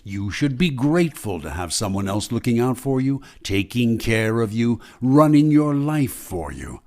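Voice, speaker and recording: A man's voice: medium pitch, big pitch swings, a little slow, a little mellow. A man playing a sardonic, knowing narrator in an old-time radio melodrama, insinuating and mocking.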